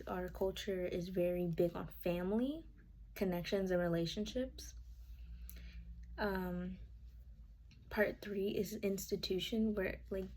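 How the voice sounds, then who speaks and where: A woman's voice: mid-range voice, a little mellow, slight background noise, poor audio. Woman presenting casually from notes. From United States. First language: Spanish. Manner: casual, with fillers and self-corrections, checking notes. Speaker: student. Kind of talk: informal class presentation.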